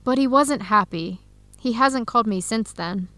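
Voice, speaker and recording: A woman playing an earnest young Ethiopian-woman in an educational radio drama, speaking clearly and deliberately. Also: on the high side, bright, forceful tone, no room sound. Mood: uncertain, hopeful.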